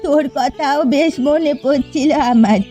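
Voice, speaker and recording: A woman's voice: high-pitched, a little slow, mellow, faint music behind. A woman voicing a bitter, scheming old mother-in-law in a village cartoon, complaining and scornful. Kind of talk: Bengali cartoon fairy-tale acting.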